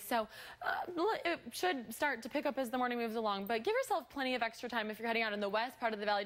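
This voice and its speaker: A woman's voice: fairly high pitch, a bit bright. An American woman presenting a live, ad-libbed morning TV traffic report.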